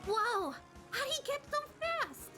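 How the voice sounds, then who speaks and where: A spirited young heroine of a fantasy game, voiced by an amateur actress. Her voice is high and very animated, brash and exclamatory, with music behind it. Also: slow, full character voice. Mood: alarmed.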